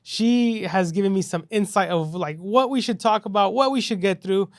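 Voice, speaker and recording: A man's voice: sits high, normal speed, no echo, studio quality. Upbeat chatty male podcast host. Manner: upbeat, filler-heavy, says "you know" and "right" a lot. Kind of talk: casual podcast chat.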